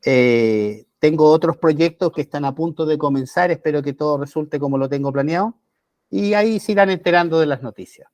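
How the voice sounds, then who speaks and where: Chilean man, slightly high voice, dry close recording, halting delivery. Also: a little mellow, no room sound. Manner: halting, restarts words. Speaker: podcast co-host. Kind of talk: casual chat.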